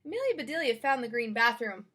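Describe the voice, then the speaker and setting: A woman with a high voice narrates a picture book for children. Her pitch rises and falls widely, and she turns to address the young listeners directly as she reads. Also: sing-song, fast, sharp tone. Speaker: storybook reader. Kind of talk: expressive children's read-aloud narration.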